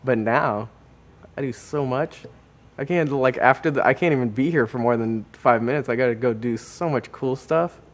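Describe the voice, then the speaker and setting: Mid-pitched young American man, interviewed. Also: normal speed. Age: young adult. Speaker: interviewee, musician. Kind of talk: casual interview. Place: indoors.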